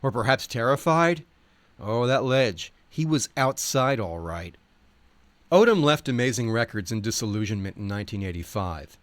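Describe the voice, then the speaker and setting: A man reading scripted nonfiction in a wry, expressive storytelling manner. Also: medium pitch, moderate pace, no room sound. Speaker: audiobook reader. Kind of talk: scripted nonfiction narration with acted quotes.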